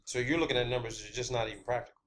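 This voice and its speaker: A man's voice: mid-range voice, steady tone, rapid-fire. A man hosting his own video channel, talking off the cuff in an emphatic, explanatory monologue and repeating phrases for stress.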